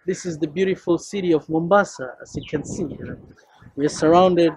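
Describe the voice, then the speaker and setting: A young Kenyan man speaks in a husky, slightly raised voice, easygoing and full of fillers. He introduces his language course like a friendly teacher. He is recorded outdoors on a rooftop at arm's length from the camera. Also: a little high, fairly even intonation, normal speed, darkish tone, dry recording, low-quality recording. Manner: friendly, loose, with many fillers. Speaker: Swahili teacher.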